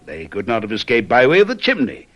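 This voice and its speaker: An actor playing a shrewd, patronizing gentleman detective in a radio mystery drama, courtly and self-assured, with a pompous, teasing, musing manner. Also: mid-range voice, huge pitch swings, fast talker. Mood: condescending, probing.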